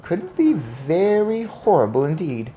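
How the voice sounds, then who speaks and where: A woman voicing a clever, eager boy who is a talking mouse, in an excited, emphatic storybook reading. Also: low voice, unhurried, a little hiss or hum, pitched above the performer's own voice, heavily acted. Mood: worried. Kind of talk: storybook read-aloud.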